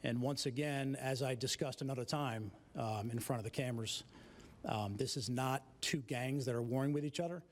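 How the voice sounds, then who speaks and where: A man speaking as an official in an unscripted press statement, measured and repeating himself. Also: mid-range voice, slight background noise.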